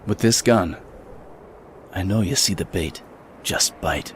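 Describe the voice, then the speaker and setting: A man's voice: sits low, slow pace, slight background noise. An actor playing a grim, resolute gunman in an old-school radio drama, solemn and determined. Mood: grim resolve. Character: grim, resolute lone gunman, husband and father.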